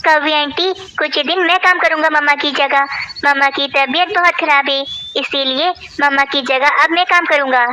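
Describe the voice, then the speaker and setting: Woman as a high-pitched little bird boy. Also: fairly even intonation, normal speed, bright, forceful tone, a little hiss or hum. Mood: earnest. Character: young bird child, caring and worried son. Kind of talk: Hindi cartoon voice acting.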